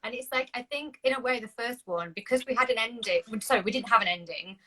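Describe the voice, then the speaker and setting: Yorkshire-born woman, accent gone half london, bright voice, friendly chat, lots of "like". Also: on the high side, fast talker, low-quality recording. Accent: mix of Yorkshire and London. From Yorkshire, England. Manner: friendly and relaxed, says "like" a lot. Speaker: PR business owner, guest. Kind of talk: casual video chat.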